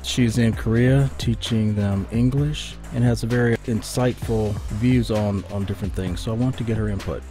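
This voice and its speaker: Low, mellow-voiced man reading aloud. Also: normal speed, few pauses, soft background music. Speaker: guest reader, host's husband. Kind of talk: reading a written statement aloud.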